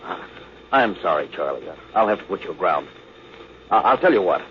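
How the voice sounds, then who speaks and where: A man playing a commanding, short-tempered but loyal spaceship captain in a 1950s radio drama, with an American accent and clipped, gruff authority. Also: sits low, very animated, a little fast. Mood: regretful, firm. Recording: dull, noisy old radio recording.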